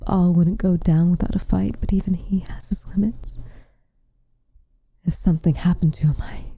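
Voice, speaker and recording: A woman playing a protective, brooding, weary but tender witch in an ASMR roleplay, speaking softly and gravely, often in a whisper, close to the mic. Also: lowish voice, steady tone. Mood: troubled, despairing. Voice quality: soft, breathy, often whispering. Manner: measured, grave, formal phrasing. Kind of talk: ASMR roleplay, slow intimate acting. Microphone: close microphone, dry.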